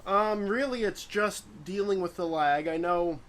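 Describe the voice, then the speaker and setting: Technician, male, fairly high voice, rambling, faint noise behind. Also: measured pace, a bit bright. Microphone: video call. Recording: laggy call with faint noise.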